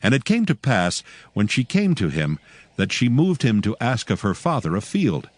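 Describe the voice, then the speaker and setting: A man's voice: fairly low pitch, wildly swooping pitch, moderate pace, no room sound. A man narrating scripture from a script in a solemn, dramatic storytelling manner.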